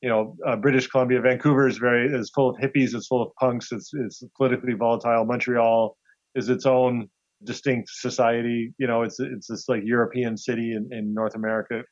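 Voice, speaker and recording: A man's voice: mid-range voice, flat delivery, a little fast, no echo. A man speaking casually as an interview guest, telling anecdotes and acting out what people say. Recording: slightly dull, lo-fi audio.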